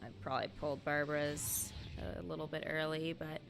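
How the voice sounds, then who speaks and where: A woman's voice: sits low, flat delivery, brisk, gravelly, background noise. A woman chatting casually to viewers as she gives play-by-play commentary on a game, with informal exclamatory asides. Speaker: live game streamer. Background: video game music.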